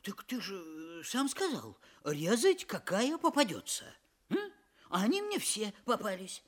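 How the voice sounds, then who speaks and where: Cheeky young farmhand for a folk-tale play: mock-innocent drawl, teasing, very animated intonation. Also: female voice, medium pitch, a little slow. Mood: innocent, obliging. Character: cheeky young hired farmhand, male, slyly naive. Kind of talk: audio fairy-tale play acting.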